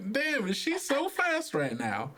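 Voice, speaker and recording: A man's voice: very high voice, huge pitch swings, slow, crowd noise. A man improvising casual tabletop game narration as game master, rambling and repeating himself with amusement.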